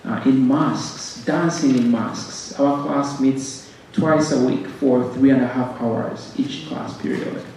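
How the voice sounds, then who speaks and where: A man with a Ugandan accent giving a halting welcome speech as director of a music ensemble, with frequent pauses and repeated words. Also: medium pitch, a little mellow. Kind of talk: welcome speech to a concert audience. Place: concert stage in a hall. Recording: very echoey hall, distant mic, faint noise.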